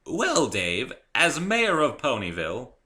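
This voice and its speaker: A man voicing a dignified middle-aged pony mayor, a female character who speaks in a formal, measured, official way in a story. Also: mid-range voice, wildly swooping pitch, pushed and bright, pitched above the performer's own voice, full character voice. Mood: formal, scrutinizing.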